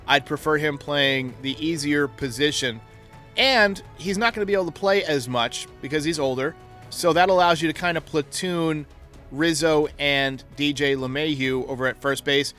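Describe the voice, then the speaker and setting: Higher-pitched man giving sports commentary over music. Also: bright, forceful tone. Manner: opinionated, reasons aloud through options. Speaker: sports show host. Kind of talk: sports commentary, answering caller voicemails.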